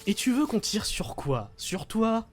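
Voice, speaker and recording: A man acts a bullying teenage boy in an audio drama. His voice sits fairly high, with gruff, slangy, dismissive delivery, and music plays behind him. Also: very animated, measured pace. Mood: scornful. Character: surly, foul-mouthed teenage boy, bullying big brother. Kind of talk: French audio drama acting.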